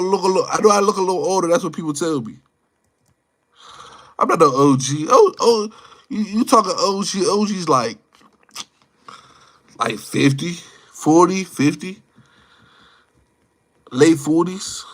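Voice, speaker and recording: High-voiced young man, slangy and rambling. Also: lively intonation, measured pace, long pauses, a bit bright. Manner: slangy, rambling, repeats phrases and words. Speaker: livestream host chatting with viewers. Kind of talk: casual live chat, off-the-cuff opinion.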